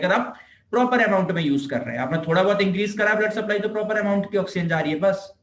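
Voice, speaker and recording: An Indian man giving an informal explanatory lecture as a teacher, repeating himself and answering his own questions. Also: fairly high pitch. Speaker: physiotherapy teacher. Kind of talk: informal explanatory lecture in Hindi mixed with English terms. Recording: echoey room.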